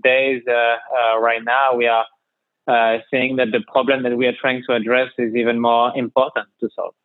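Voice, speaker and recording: A man's voice: medium pitch, little pitch movement, moderate pace, dry recording. A man in his thirties from France with a French accent, a podcast guest talking hesitantly with many fillers. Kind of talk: remote podcast interview. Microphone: call line. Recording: dry tinny call line.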